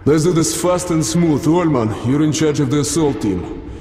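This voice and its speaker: A man's voice: a little high, normal speed, slight background noise, close to an everyday voice. An actor playing a stern colonel in a video game, giving orders to his squad with commanding authority. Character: stern male colonel leading a soldier squad. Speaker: military squad leader, colonel. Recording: echoey hall, loud game music behind.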